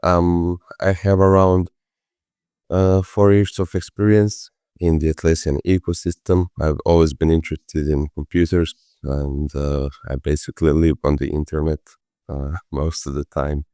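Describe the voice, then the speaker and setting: A man from Croatia, a product manager, introducing himself on a webinar in a relaxed, hesitant way with many uhs. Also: extremely low pitch, unhurried, dry recording, studio quality. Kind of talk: casual presentation with fillers.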